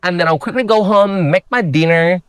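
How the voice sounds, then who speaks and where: A woman's voice: on the low side, moderate pace, clean tone, no echo. Upbeat Indonesian-accented woman, dry sound. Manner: upbeat, earnest, non-native grammar. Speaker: guest, bodybuilder. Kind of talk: casual interview chat.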